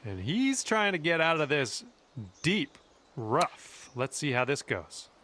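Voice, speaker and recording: A man's voice: a little high, big pitch swings, takes it slowly, pushed and bright. A man giving informal, colloquial golf commentary in the style of a sports video game. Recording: clear background noise.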